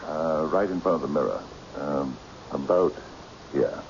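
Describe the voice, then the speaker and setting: A mysterious, courtly eccentric in a radio mystery play, his voice cavernously deep and dark in tone. He speaks deliberately and hypnotically, with a gravelly edge, giving polite but firm commands. The recording is dull and noisy, like old radio. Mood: courteous, controlled.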